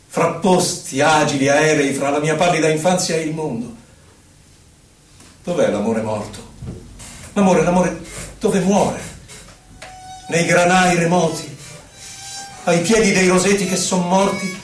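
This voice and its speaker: Exiled poet, nostalgic and solemn, male actor: high-pitched lyrical recitation, set back in a roomy space with violin. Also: natural voice. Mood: lonely, yearning. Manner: dramatic, lyrical, solemn delivery. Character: exiled poet, nostalgic, lovelorn, wandering within himself. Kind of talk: theatrical poetry recital with violin. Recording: roomy, distant voice under violin music. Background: violin music.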